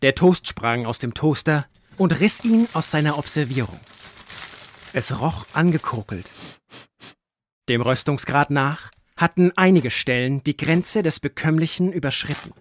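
Slightly high-voiced male narrator. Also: lively intonation, a little slow, slight background noise. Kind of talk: scripted literary narration.

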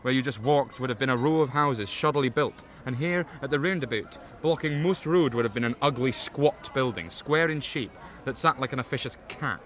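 A man's voice: mid-range voice, brisk, hardly any pauses, fairly bright tone, natural voice. A man playing a grim ghostly tour guide, narrating in a sinister, theatrical storytelling style. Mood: grim, wry. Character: grim ghostly guide to old Edinburgh's bloody past.

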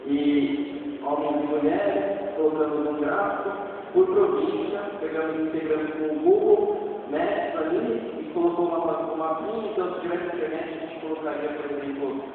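A man's voice: a little high, expressive, moderate pace. A Brazilian man giving an informal conference talk and live demo, speaking conversationally and often adding short tag questions to check for agreement. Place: stage of a large dark auditorium. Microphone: distant camera in the audience. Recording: echoey, noisy hall, tinny, from far off.

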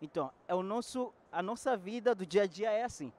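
A man's voice: high-pitched, expressive, brisk, darkish tone. A man in his thirties whose first language is French, speaking earnestly into a handheld mic at a panel in a large event hall, sometimes searching for words. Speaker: panel guest. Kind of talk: casual talk at a public panel.

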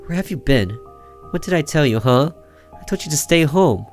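A man's voice: a little high, animated, normal speed, faint music behind, pitched above the performer's own voice. A man voicing a strict, cold mother who scolds her child in a horror visual novel. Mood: upset, scolding. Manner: stern, chastising, questioning.

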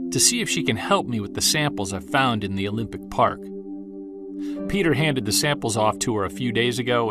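A man playing an earnest Bigfoot hunter. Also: fairly low pitch. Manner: earnest, wry, self-deprecating. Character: earnest mid-forties male Bigfoot investigator. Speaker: Bigfoot investigator narrating recordings. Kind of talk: audio drama acting, recorded-journal narration.

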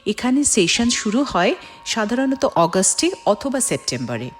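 A woman's voice: on the high side, big pitch swings, measured pace. A middle-aged woman narrates a personal vlog, addressing viewers as friends. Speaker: vlogger. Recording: music under the voice. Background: background music.

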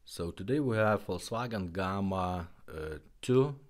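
A man casually presenting a product demonstration, with halting, simple non-native English phrasing. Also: deep voice, animated. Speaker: presenter demonstrating retro car radios.

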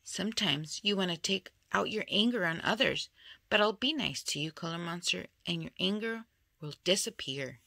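Woman, lowish pitch, creaky edge, expressive children's storybook read-aloud. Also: normal speed, noisy background. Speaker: storybook reader.

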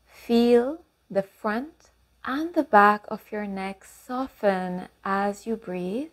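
A woman leading a guided meditation and affirmations in calm, unhurried instruction with long pauses. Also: mid-range voice.

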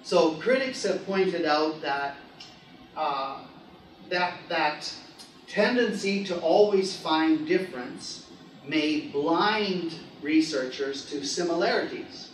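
Male academic presenter, middle-aged, slightly higher voice, taped from the back of the room. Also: slight background noise. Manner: measured, many "uh" pauses. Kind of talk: conference research talk. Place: lecture room with projector screen. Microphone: far camera. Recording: echoey room from far off.

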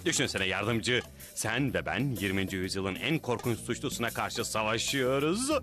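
An actor playing a big, naive, earnest cartoon superhero who declaims pompously and grandly. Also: male voice, fairly low pitch, expressive, moderate pace, over music, lightly acted. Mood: grand musing. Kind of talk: dubbed cartoon hero acting.

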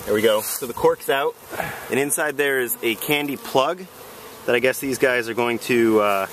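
A man's voice: medium pitch, normal speed, a bit bright, slight background noise. Hesitant man narrating a beekeeping job. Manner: hesitant, unsure, self-deprecating. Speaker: first-time beekeeper. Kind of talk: casual how-to narration while working. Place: outdoors in a leafy yard. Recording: outdoor camera audio with buzzing bees, from a distance. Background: buzzing bees.

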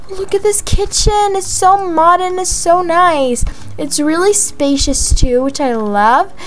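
High-pitched young-sounding gushing woman, gaming vlog. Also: fairly bright tone. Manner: gushing, breathy gasps of amazement, drawn-out exclamations. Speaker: gaming video creator. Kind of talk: voiced roleplay vlog, casual house-tour narration. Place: voice-over of gameplay, music behind.

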